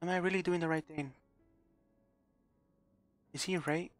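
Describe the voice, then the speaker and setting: A man's voice: a little high, steady tone, measured pace, no room sound, faint music behind, pitched above the performer's own voice, lightly acted. A man voicing a desperate, unhinged young woman who pleads and stammers through repeated restarts. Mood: anguished. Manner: pleading, sobbing, stumbling restarts.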